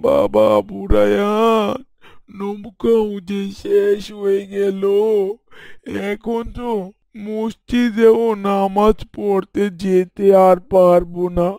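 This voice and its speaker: A man's voice: high voice, a little mellow, no echo. An actor playing a poor, devout, worried fisherman father in an Islamic story cartoon, pleading and sorrowful. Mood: downcast. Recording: very noisy, birdsong and insects behind.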